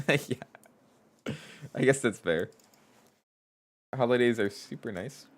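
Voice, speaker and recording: A man with a stuffy nose, streaming live as a game developer, chatting casually, reading chat aloud and thinking out loud as he codes. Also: medium pitch, expressive, slow. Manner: thinks aloud, reads chat aloud, trails off and restarts.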